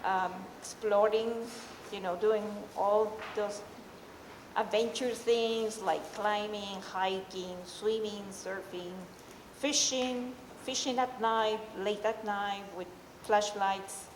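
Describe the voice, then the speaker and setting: A middle-aged woman from Peru with a Peruvian Spanish accent tells a personal story live, in an informal, halting way. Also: mid-range voice, fairly even intonation. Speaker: amateur storyteller. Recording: echoey hall, faint background noise.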